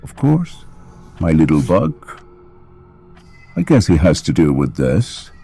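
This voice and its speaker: A menacing undead father from an audio drama, mockingly tender and theatrical. The voice sits extremely low, dark and husky, and it swoops slowly through each taunt. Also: wildly swooping pitch, faint music behind, heavily acted.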